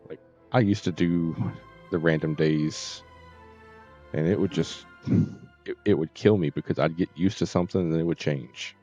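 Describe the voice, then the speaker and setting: A man's voice: sits low, moderate pace, mellow. A middle-aged man live streaming a game, chatting casually in a rambling, easygoing way. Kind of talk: casual chat while gaming. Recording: dull, slightly muffled stream audio. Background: faint game music.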